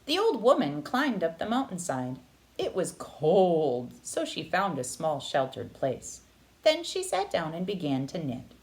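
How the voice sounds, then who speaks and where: A woman narrating a children's picture book aloud with expressive, dramatic phrasing. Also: lowish voice, unhurried. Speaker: read-aloud storyteller.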